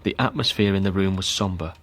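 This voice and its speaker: Deep-voiced solemn man reading aloud. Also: fairly quick, a bit bright. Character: sober man reading out a grim crime account. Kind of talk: measured read-aloud in radio drama.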